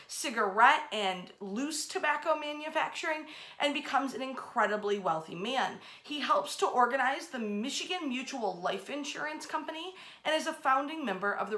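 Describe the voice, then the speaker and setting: Bright, high-voiced, animated woman. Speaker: history storyteller and host. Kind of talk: casual history storytelling to camera. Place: bedroom, indoors. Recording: roomy, echoey indoor sound.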